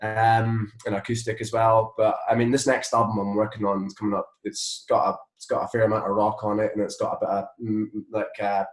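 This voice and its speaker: A man's voice: fairly low pitch, completely flat delivery, a little fast, few pauses. Scottish man in an echoey room. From Scotland. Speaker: interview guest, musician and songwriter. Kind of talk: casual interview chat. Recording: roomy, echoing sound.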